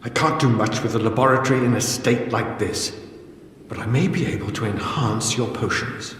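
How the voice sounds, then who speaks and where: A man's voice: medium pitch, a bit bright, natural voice. Echo-drenched, earnest healer-scholar played by a man. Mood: eager. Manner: earnest, halting. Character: earnest, obsessive healer-scholar, hesitant and idealistic. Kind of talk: fantasy game dialogue acting. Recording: very echoey hall, faint noise.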